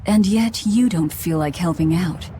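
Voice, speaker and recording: Woman playing a low-voiced, commanding demon. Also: a little slow, heavy background noise, close to an everyday voice. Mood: resolute. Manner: terse, imperious. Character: cold, commanding demon woman, hard and distrustful. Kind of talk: English video game dub acting. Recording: loud music behind voice.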